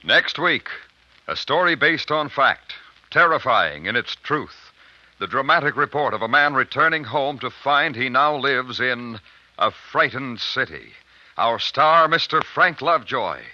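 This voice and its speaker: A man's voice: medium pitch, expressive, unhurried, no echo. An American man announcing a suspense program in a portentous, dramatic 1950s radio style. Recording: muffled vintage radio with loud music.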